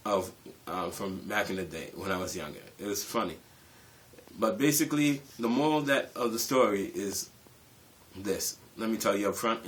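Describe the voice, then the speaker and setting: A man casually telling a personal story to viewers, animated and acting out bits of dialogue, often repeating phrases for emphasis. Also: on the low side. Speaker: YouTube storyteller.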